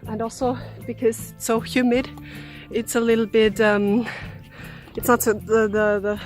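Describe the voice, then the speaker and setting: A woman's voice: on the high side, flat delivery, a little slow, soft background music. A woman from Austria with an Austrian German accent, chatting outdoors as she runs trails and guides a tour. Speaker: runner guiding a trail tour. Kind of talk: casual walk-and-talk to camera. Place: outdoors, forest trails and park.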